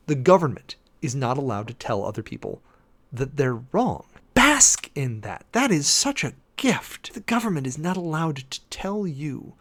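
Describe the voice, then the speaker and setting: A man with a mid-pitched voice and very lively intonation reads and comments like a preacher-host. He is theatrical and emphatic, swinging between formal recitation and informal, opinionated asides.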